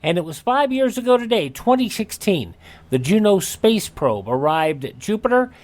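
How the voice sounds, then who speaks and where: A man's voice: sits high, big pitch swings, normal speed, darkish tone. A man with an American accent hosting a radio show and presenting items in a scripted but informal way. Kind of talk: presenting a this-day-in-history segment.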